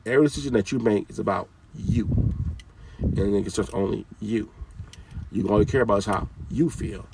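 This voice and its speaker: A man's voice: fairly low pitch, normal speed, vocal fry, far from the mic, noisy background. A man giving an informal, scolding talk with casual slang, often repeating his phrases.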